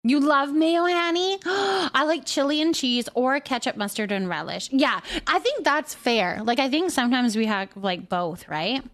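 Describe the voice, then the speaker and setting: A woman's voice: high voice, expressive, unhurried, hardly any pauses, a bit bright. A woman chatting casually and playfully as she hosts a livestream and responds to viewers' comments. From Canada. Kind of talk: casual chat, reading and answering viewer comments.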